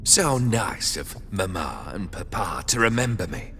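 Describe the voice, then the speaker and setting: Bright, expressive low-voiced nobleman husband. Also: measured pace, a little hiss or hum, exaggerated voice. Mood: suspicious, bitter. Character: brooding nobleman husband, gallant yet secretive. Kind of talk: video game cutscene acting.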